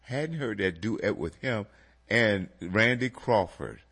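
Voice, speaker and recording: A man with a stuffy, slightly nasal voice hosts a relaxed music radio chat. His pitch swings up and down, he speaks slowly, and his tone is a little husky. He talks straight to his listeners. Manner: animated, addresses listeners as folks. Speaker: radio show host.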